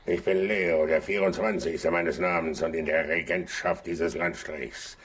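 A man's voice: sits low, steady tone, fairly bright tone. A man playing a proud, blustering lion king in a children's radio play, pompous and haughty in manner. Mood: haughty, indignant. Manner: pompous, haughty, drawn-out roaring declamation.

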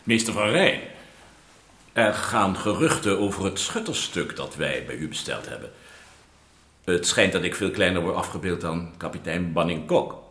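A vain militia lieutenant in a historical radio play. His deep voice is measured and deferential, with formal politeness. It echoes in a roomy space. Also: animated, fairly bright tone, a little hiss or hum. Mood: worried, formal. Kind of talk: Dutch radio drama acting.

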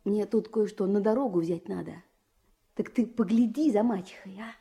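A woman's voice: medium pitch, normal speed, mellow, natural voice. A woman playing a scrappy, defiant runaway peasant boy in a radio drama, brusque and colloquial. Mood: hurried, secretive.

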